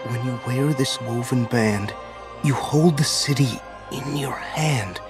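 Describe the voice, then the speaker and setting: A man playing a gentle, shy young bell ringer in a video game, earnest and a little halting. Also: mid-range voice, music in the background, lightly acted. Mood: wonder. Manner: soft-hearted, halting, earnest.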